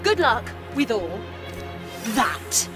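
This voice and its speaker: A woman's voice: fairly high pitch, very animated, drawn-out words, music in the background, heavily acted. An actress playing a principled, indignant female AI assistant in a video game, speaking firmly and reproachfully. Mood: defiant, hurt. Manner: firm, reproachful.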